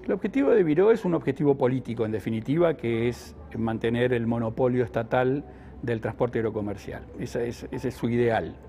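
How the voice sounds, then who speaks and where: A man's voice: medium pitch, brisk, dark tone. An older man answering an interview, giving an analytical explanation and often stumbling into restarts.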